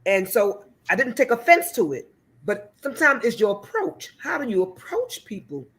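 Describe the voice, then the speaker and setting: A woman guest with a deep voice, speaking hesitantly and filling her pauses with ums and you-knows. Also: very animated, fairly bright tone. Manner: hesitant, many fillers. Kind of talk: casual discussion.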